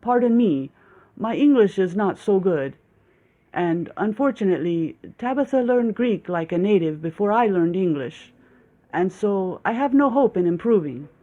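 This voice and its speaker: A man doing a hesitant foreign husband, halting, apologetic, raised pitch, lo-fi. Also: animated, dark tone.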